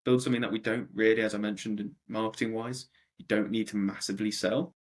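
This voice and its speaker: A young man in his twenties, a startup co-founder answering interview questions in a conversational way with a deep voice and frequent ums. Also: steady tone, quick pace. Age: 20s.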